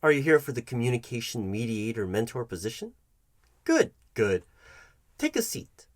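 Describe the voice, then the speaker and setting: A man's voice: mid-range voice, sing-song, normal speed, no echo, studio quality, lightly acted. A man playing a pompous, bumbling job interviewer in a comedic roleplay, condescending and fussy, stammering and correcting himself. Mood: fussy, officious. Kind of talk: comedic ASMR roleplay addressed to the listener.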